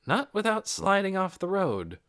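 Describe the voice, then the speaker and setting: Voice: mid-range voice, big pitch swings, measured pace, fairly bright tone, pitched above the performer's own voice. A narrator voicing a cautious, practical grown woman in an audiobook, skeptical and wry.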